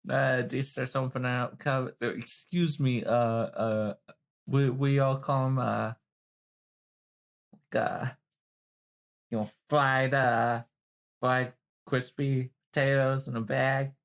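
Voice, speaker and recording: A woman with a gravelly, creaky edge talks in a loose, informal way. She is playful and teasing, improvising as a player in a roleplay game. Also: rumbling low, fairly even intonation, takes it slowly, pauses often. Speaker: player in a tabletop roleplay podcast. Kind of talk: casual improvised roleplay chat.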